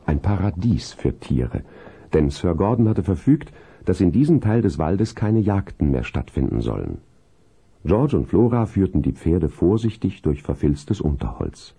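A man playing the storyteller of a children's horse tale, with a gravelly, husky voice and scripted storybook phrasing. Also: low voice, very animated, a little slow, dark tone, full character voice. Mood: calm, atmospheric. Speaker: narrator.

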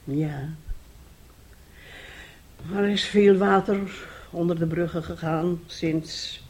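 An actress in a Dutch radio play playing a chatty, sentimental older aunt who rambles and exclaims. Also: female voice, lowish voice, fairly even intonation, slow, a little mellow. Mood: tender. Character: chatty, sentimental aunt reminiscing about old romance. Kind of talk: Dutch radio drama acting.

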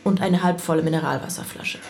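A woman playing a composed police inspector in a radio play, speaking in a measured, factual way with a gravelly edge. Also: sits low, steady tone, a little mellow. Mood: matter-of-fact. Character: composed, matter-of-fact female police inspector. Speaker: voice actor in an audio drama. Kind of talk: German radio play acting.

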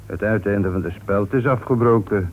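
An actor as a formal police inspector, tinny recording. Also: male voice, on the low side, natural voice. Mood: accusing, triumphant. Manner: formal, accusing, deliberate. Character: methodical, confident male police inspector closing a murder case. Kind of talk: radio detective drama acting. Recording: tinny, noisy old radio recording.

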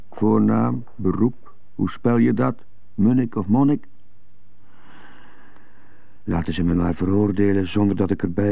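An anxious, brooding prisoner in a radio drama, a trumpeter who stutters. His voice is low and very slow, halting as he turns things over, on a dull, muffled recording. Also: vocal fry, no room sound, exaggerated voice.

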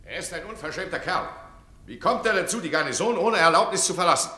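An actor playing an imperious, hot-tempered duke in a radio drama, commanding and scornful. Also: male voice, high-pitched, animated, quick pace, pushed and bright. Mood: angry. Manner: commanding and scornful, swings from mocking to furious. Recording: roomy studio echo.

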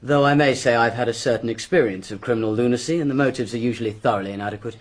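An actor playing a brisk, self-assured police inspector with a British accent and a clipped, businesslike manner in a radio drama. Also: male voice, medium pitch, close to an everyday voice. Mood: brisk, questioning.

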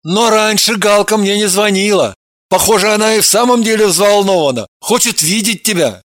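A man playing an easygoing, teasing, sometimes puzzled man in an audiobook, with an excitable manner. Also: high voice, normal speed, no echo, studio quality. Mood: insistent. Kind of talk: audiobook character acting.

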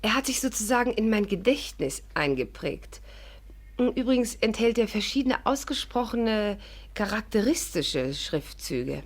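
A clever, polite amateur sleuth with a voice of medium pitch. She is earnest and articulate, in the style of a 1950s German radio play. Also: very animated, a little slow. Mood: eager, confiding. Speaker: heroine and narrator.

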